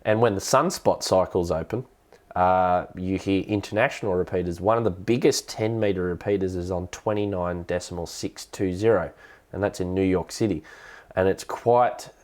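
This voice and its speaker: Deep-voiced Australian man with a cold. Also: a little mellow. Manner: halting, hesitant delivery with repeated words. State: has a cold. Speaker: live stream host, amateur radio hobbyist. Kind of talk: casual explanatory live stream, answering viewer questions.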